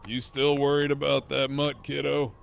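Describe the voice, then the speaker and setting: An actor playing a mocking, foul-mouthed middle-aged antagonist with a sardonic, needling drawl in an audio drama. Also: male voice, mid-range voice, completely flat delivery, normal speed, creaky, clean tone. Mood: smug, mocking.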